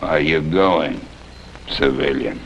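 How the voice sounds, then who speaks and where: An actor playing a bitter, ruthless crippled army captain in a 1950s TV western, gravelly, sardonic and commanding. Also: male voice, rumbling low, slow, muffled recording, slight background noise, heavily acted. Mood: taunting. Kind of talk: 1950s television western acting.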